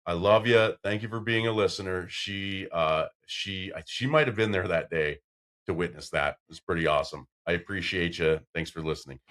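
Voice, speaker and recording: A man with a deep, carrying voice hosting a casual podcast chat, telling stories and getting loud when excited. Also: normal speed. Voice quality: deep voice that carries. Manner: loud when excited, storytelling with acted-out quotes. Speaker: podcast host.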